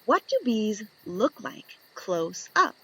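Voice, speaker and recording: A woman reads a children's picture book aloud, taking her time over each page. Her voice swoops up and down with lively expression. She plays with the story and keeps asking her young listeners questions. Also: mid-range voice, takes it slowly, slightly rough, rough recording.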